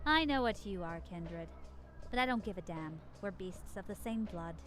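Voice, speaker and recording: A woman's voice: medium pitch, animated, moderate pace, a bit bright, faint music behind, lightly acted. An actress voicing a brash, streetwise vampire woman in a video game, with a blunt, playful swagger. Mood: cool, defiant.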